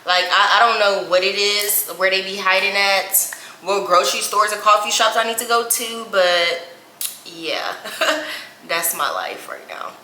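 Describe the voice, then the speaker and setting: A woman's voice: mid-range voice, measured pace, sharp tone, reverberant, a little hiss or hum, low-quality recording. A woman in her twenties from Indianapolis, chatting casually while doing her makeup, with lots of "y'all" and "like". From Indianapolis, USA. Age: 20s. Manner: chatty, says "y'all" and "like" often. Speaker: beauty vlogger.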